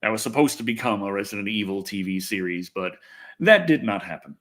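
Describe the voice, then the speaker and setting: American male livestream host, very lively pitch swings, rambling, self-deprecating, casual swearing. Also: fairly quick. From Texas, United States. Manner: self-deprecating, swears freely, thinks aloud. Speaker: livestream host and video reviewer. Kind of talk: casual rambling livestream chat answering viewers.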